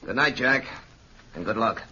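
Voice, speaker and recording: An actor playing a grave, ominous gentleman in an old-time radio horror drama, giving terse, stern warnings. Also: medium pitch, animated, moderate pace, close to an everyday voice. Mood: cold refusal. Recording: muffled, noisy old-time radio recording.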